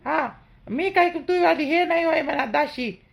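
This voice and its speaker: A woman's voice: sits high, unhurried, creaky. A woman playing a protective middle-aged village mother-in-law in a cartoon, chatty and emphatic, with a Vidarbha Marathi accent. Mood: indignant. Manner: chatty, emphatic, repeats herself. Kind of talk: homely cartoon drama dialogue.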